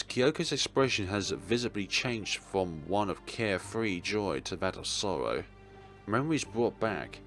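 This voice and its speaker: A young man reading a visual novel aloud as a let's player, in a theatrical, expressive way. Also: lowish voice. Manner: theatrical, expressive, with playful asides. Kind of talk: dramatic read-aloud narration of a visual novel. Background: game music.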